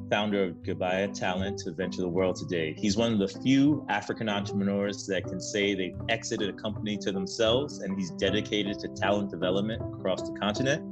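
Deep-voiced man reading an intro over guitar. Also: rough recording. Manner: measured, read from a script. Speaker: podcast host. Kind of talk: scripted intro narration.

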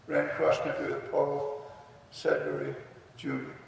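An older man in his seventies speaks solemnly, like clergy at a memorial service. His voice is fairly high and gravelly, carried from a podium mic through a very echoey hall. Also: completely flat delivery, a little slow. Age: 70s. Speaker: pastor and Vietnam veteran speaking at a memorial. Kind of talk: solemn ceremony address and prayer. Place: large school-like hall, at a podium. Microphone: podium microphone. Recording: echoey hall, distant mic, faint noise.

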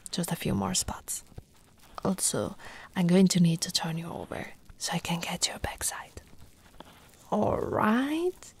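A woman playing an affectionate, teasing lamia girlfriend in an ASMR roleplay, soft and cooing, often slipping into whispers. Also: mid-range voice. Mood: soothing, tender.

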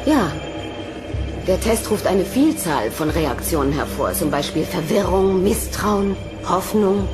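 A woman's voice: on the low side, animated, unhurried, over music. Precise, earnest female alien researcher. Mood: clinical. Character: measured, cautious female alien researcher studying humans. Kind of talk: German sci-fi radio drama acting.

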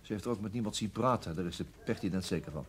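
A man acting a weary, methodical police detective in a Dutch radio drama, speaking in a measured, matter-of-fact way. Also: sits low, lightly acted. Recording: noisy old radio drama recording.

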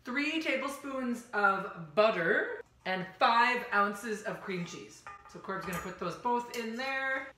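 A bright, fairly high-voiced woman in her thirties walks through a recipe at home, relaxed and a little teasing. She sounds picked up by a camera across a kitchen, with a roomy echo. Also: slow pace. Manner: relaxed, teasing, step-by-step instructions. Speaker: home cooking show host. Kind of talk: casual cooking demonstration. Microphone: far camera. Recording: echoey kitchen room.

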